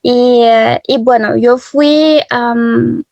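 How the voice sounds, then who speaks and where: A woman in her twenties from France, telling her personal story as an interview guest, hesitant, with many fillers and soft laughs, over a video call. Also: on the high side, fairly even intonation, drawn-out words, no room sound, highs cut off. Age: 20s. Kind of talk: personal testimony.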